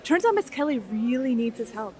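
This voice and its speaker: Casting: woman as brisk schoolteacher, mellow but slightly high voice, no-nonsense, audio drama. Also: very animated, soft background music. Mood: businesslike. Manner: curt, businesslike. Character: brisk, matter-of-fact female high school teacher.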